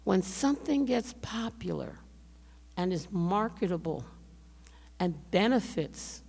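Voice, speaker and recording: An older woman giving a public lecture in a measured, conversational way, speaking to listeners directly while straining to keep her voice. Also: medium pitch, lively intonation, vocal fry, darkish tone, slightly rough. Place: lecture hall. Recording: lecture hall reverb.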